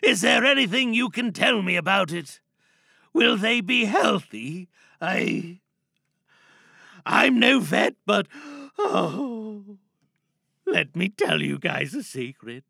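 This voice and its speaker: Guy doing a grandiose fake oracle on a livestream, super bright forceful voice, dry sound, melodramatic and easily tearful. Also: high voice, sing-song, slow, creaky, pauses often, no echo, full character voice. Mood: confiding. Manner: pompous, melodramatic. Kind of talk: theatrical livestream monologue.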